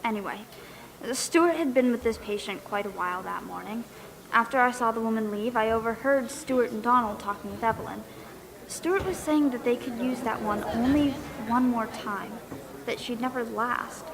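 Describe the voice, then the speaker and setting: A woman's voice: on the high side, normal speed, a crowd in the background, close to an everyday voice. A woman playing an earnest, insistent young wife and dental assistant with suspicions, in an audio drama performance. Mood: suspicious, earnest. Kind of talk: stage audio drama acting.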